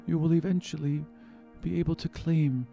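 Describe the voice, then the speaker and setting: A man voicing a gentle, sorrowful father in a tabletop roleplay, speaking tenderly, solemnly and haltingly. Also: on the high side, steady tone, gravelly, faint music behind, voice pushed up. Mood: grave, sad. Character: gentle, sorrowful elven father revealing a painful secret.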